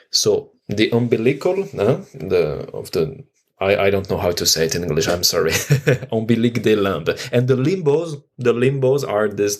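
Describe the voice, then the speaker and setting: A man with a French accent speaks informally in a fairly low voice. His pace is very slow, and he hesitates often as he looks for the right English word. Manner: hesitant, searching for words. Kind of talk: informal spoken introduction.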